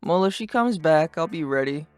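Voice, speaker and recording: A performer voicing a brash, sarcastic, too-cool teenage boy who rants and swears with deadpan cool in a fan-dubbed comic. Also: mid-range voice, normal speed, close to an everyday voice. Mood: cocky. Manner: foul-mouthed, ranting, deadpan cool. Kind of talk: fandub of a webcomic chat. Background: music.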